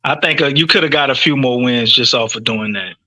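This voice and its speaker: A man's voice: mid-range voice, brisk, sharp tone, no echo. A man with a New Orleans accent co-hosting a casual sports podcast and making wry, playful jokes. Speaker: podcast co-host.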